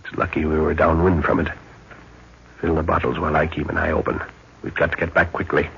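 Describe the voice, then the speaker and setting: An actor playing a calm, authoritative middle-aged father leading a jungle expedition in a 1930s radio adventure, speaking in a measured, commanding way. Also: extremely low pitch, gravelly, full character voice. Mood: tense, cautious. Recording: muffled, noisy 1930s radio recording.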